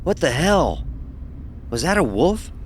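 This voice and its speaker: A man's voice: on the high side, sing-song, slow, slight background noise. A man playing a cocky, sarcastic young fraternity pledge who narrates his own horror story. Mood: tense. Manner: sarcastic, dismissive. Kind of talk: first-person horror story narration with acted dialogue.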